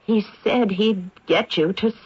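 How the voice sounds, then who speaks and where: A woman's voice: medium pitch, slow, a little mellow, breathy edge. An actress playing a meek, apologetic lady of a haunted house in a radio drama, genteel and hesitant. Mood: earnest. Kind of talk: old-time radio drama acting. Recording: dull vintage radio recording.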